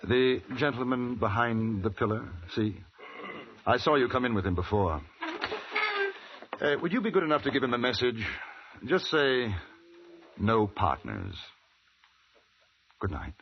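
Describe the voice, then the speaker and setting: An actor playing a polite, articulate gentleman adventurer in a 1950s radio western, speaking in a measured, composed way. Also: mid-range voice, sing-song, background noise. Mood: cool, wry. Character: polite, composed gentleman adventurer narrating his frontier travels. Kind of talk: 1950s radio drama narration and dialogue. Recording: dull old radio recording.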